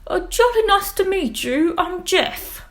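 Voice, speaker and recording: Woman voicing a bubbly, very high little squid. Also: expressive, measured pace, fairly bright tone, full character voice. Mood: bubbly, welcoming. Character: playful, friendly little squids greeting a newcomer.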